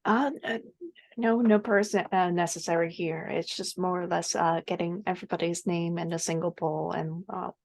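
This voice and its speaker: Halting woman with a lowish voice. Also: steady tone, creaky. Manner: halting, hesitant. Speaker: player in a tabletop roleplaying game. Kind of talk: casual improvised roleplay.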